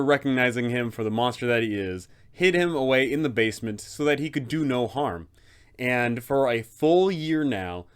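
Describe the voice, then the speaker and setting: A man hosting a tabletop game guide, narrating like a game master with theatrical, drawn-out emphasis. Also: medium pitch, smooth. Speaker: host, game master giving advice.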